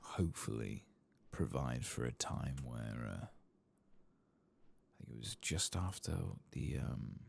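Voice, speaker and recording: Super deep crackly guy doing asmr game commentary, soft n breathy, half whispering, takes his time. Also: expressive, takes it slowly. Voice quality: breathy, gravelly, drifting into whisper. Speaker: gaming ASMR host.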